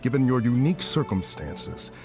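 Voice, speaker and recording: An actor playing a stern officer in a video game, speaking in a formal, official manner. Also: male voice, mid-range voice, big pitch swings, moderate pace, natural voice. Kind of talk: scripted video game voice acting. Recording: game music behind the voice.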